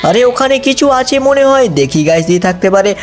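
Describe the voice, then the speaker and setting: A gameplay narrator telling a cartoon story in an excited, exclamatory way, constantly addressing viewers. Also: mid-range voice, quick pace, faint music behind. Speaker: gaming video narrator playing the lead character.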